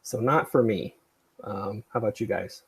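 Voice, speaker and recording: Gravelly, husky man chatting casually. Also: lowish voice, normal speed. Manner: rambling, digressive, self-effacing. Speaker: podcast creator and livestream host. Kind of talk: casual livestream chat.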